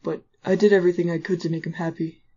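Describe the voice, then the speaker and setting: A woman's voice: fairly low pitch, flat delivery, quick pace, dark tone, close to an everyday voice. A woman playing a protective, wisecracking teenage skeleton big brother, casual and tender, in a fan comic dub. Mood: wistful. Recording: muffled lo-fi audio over music.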